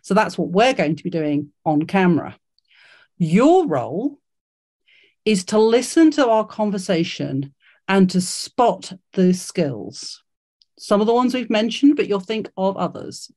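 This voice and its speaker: A woman's voice: medium pitch, big pitch swings, unhurried, a little mellow. A woman hosting an online careers workshop over a video call, encouraging and full of fillers and restarts. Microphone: video call mic.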